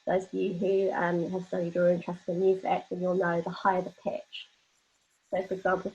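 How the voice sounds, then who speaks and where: Low-voiced woman leading an informal workshop. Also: vocal fry. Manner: informal, explains with everyday examples. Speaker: workshop leader, presenter. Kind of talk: explanatory workshop lecture with slides. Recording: dull, lo-fi band-limited audio.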